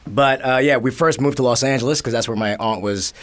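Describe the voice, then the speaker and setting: A man guesting on a podcast, talking through his childhood in a steady, middle-of-the-road voice. He tells the story in a measured way and drops in dry, wry asides. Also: mid-range voice. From born in Korea, raised in the Bronx. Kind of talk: casual podcast conversation.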